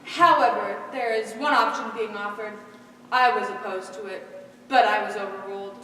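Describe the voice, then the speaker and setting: A woman's voice: mid-range voice, normal speed, bright, forceful tone, close to an everyday voice. A teenage woman playing a stern, humorless magistrate in a school stage play, declaiming with authority. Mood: officious. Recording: echoey school stage hall, distant mic, faint noise.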